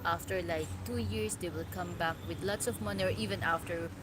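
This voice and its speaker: A woman from the Philippines with a Filipino accent talks casually to her followers, halting and often checking in with an "okay". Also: fairly low pitch. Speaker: vlogger. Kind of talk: casual opinion talk to followers. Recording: loud background noise, vehicle and outdoor ambience.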